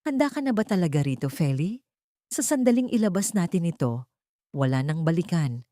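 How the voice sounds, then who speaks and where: Woman voicing a grave, cautioning male confidant, low-pitched. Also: very animated, moderate pace, mellow, dry recording, studio quality. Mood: grave concern.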